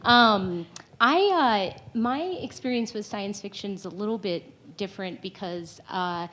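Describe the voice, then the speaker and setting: A woman's voice: mid-range voice, slow, fairly bright tone. A woman speaking on a live panel, conversational and full of restarts, laughing often. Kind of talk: panel discussion.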